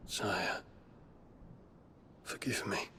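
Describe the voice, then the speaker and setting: An actor playing an earnest, proud young prince in a fantasy game, speaking in a formal, intense, courtly way. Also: male voice, drawn-out words, sharp tone, whispered, heavily acted. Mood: subdued. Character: earnest, proud young prince, dutiful but troubled son. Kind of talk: dramatic scripted fantasy game acting.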